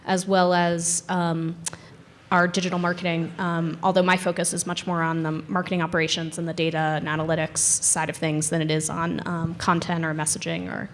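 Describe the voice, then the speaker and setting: A woman with a somewhat low voice speaks on a conference panel. She explains her business step by step in a measured way, pausing often with ums. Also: little pitch movement, slight background noise. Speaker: panelist, ticketing company director.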